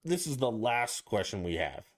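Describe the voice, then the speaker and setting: A man's voice: mid-range voice, very animated, normal speed. A man co-hosting a podcast, asking crude questions and bragging in casual banter, a little tipsy. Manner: brash, joking, boastful.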